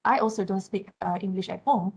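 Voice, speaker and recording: A woman's voice: mid-range voice, fairly quick, vocal fry, a little mellow, husky. A woman with a Malaysian accent, Malay her first language, lectures students in English. She talks through her points with frequent "uh"s and keeps asking "right?" and "yeah?" to check that the class follows. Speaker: university lecturer.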